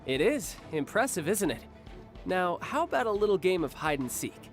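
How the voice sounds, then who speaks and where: An actor playing a confident young male warrior and protective older brother in a video game, with a smooth, playful, reassuring delivery. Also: sits high, sing-song, normal speed. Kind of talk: video game character acting. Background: game music.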